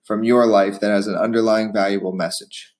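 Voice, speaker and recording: A man hosting a business podcast, reading an ad and putting prepared questions to his guest in a steady way. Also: lowish voice, fairly quick. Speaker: podcast host. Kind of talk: podcast interviewing.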